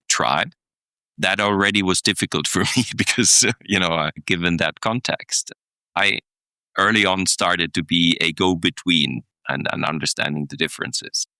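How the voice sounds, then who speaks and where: A man's voice: sits low, vocal fry, pushed and bright, no room sound. A middle-aged man, telling stories thoughtfully as a podcast guest, with many restarts and small chuckles. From Germany. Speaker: guest, executive coach. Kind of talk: podcast interview, reflective storytelling.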